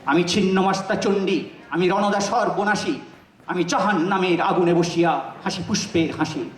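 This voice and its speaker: A man's voice: high-pitched, fairly even intonation, moderate pace, a little hiss or hum. A man reciting poetry dramatically, in an impassioned, emphatic, declamatory manner. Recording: roomy echo, distant microphone.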